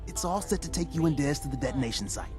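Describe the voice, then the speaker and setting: An actor playing a cocky, excitable tinkerer in a game, brash and slangy. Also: male voice, a little high, fast talker, close to an everyday voice. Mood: excited. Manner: brash, animated. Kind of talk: game cutscene acting. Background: music.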